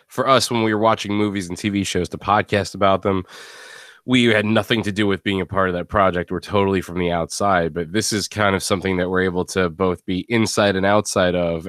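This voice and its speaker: A man's voice: low-pitched, a bit bright, no room sound, studio quality. A man hosting a casual podcast interview in a rambling, conversational way, given to chuckling. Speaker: host.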